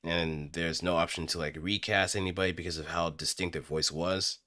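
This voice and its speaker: A man chatting casually on a livestream, musing in a halting way as he thinks aloud. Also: deep voice, fairly even intonation, bright, forceful tone, no echo, clean studio sound. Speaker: streamer practicing voice acting.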